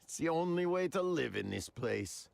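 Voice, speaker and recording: A man's voice: fairly high pitch, very animated, normal speed, gravelly, heavily acted. An actor playing a menacing, eccentric male crime boss with a leisurely, mock-polite manner in a video game. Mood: playful menace. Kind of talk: video game dramatic acting.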